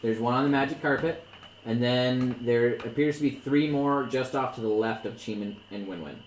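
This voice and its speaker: A man narrating as dungeon master for friends at a table, relaxed and joking. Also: mid-range voice, moderate pace. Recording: echoey room, light background noise.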